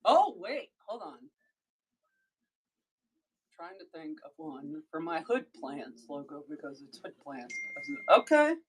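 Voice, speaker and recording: Deep-voiced woman from Missouri, unhurried and chatty, runs her own live sales stream. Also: sing-song, slightly rough, poor audio. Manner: chatty, breaks off mid-thought to handle tasks. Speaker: online seller streaming a live sale. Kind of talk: casual livestream chat while packing orders.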